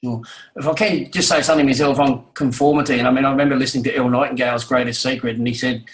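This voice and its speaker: A man's voice: medium pitch, steady tone, rapid-fire. Aussie guy doing a casual interview, bit hesitant, complimentary, echoey room sound. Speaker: guest interviewer. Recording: roomy, echoing sound.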